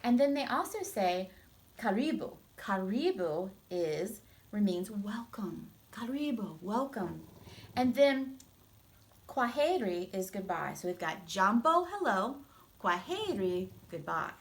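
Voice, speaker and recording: Animated woman teacher reading to children. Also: mid-range voice, slow pace. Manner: expressive, instructive, simple explanations for children. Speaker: teacher reading a storybook aloud. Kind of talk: children's storytime reading with explanations.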